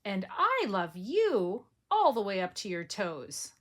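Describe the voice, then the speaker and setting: A woman voicing a big grown male hare who is calm and tender, reading from a storybook. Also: medium pitch, takes it slowly, full character voice.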